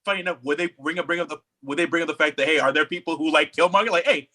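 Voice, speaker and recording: A man chatting casually as a podcast co-host, rambling in an animated way and acting out imagined remarks. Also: fairly high pitch, very fast.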